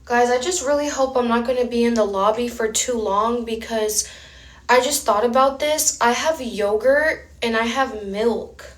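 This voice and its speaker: Young woman, slightly high voice, rambling livestream chat, phone mic in an echoey room. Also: moderate pace. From Houston, Texas. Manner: rambling, talks straight to viewers reading chat names.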